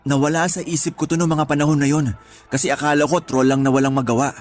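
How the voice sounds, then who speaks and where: A man's voice: a little high, flat delivery, quick pace, darkish tone, faint music behind, lightly acted. A man playing a sincere male schoolteacher sweet on his colleague, earnest and conversational in a radio drama. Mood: defensive. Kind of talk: Tagalog radio drama acting.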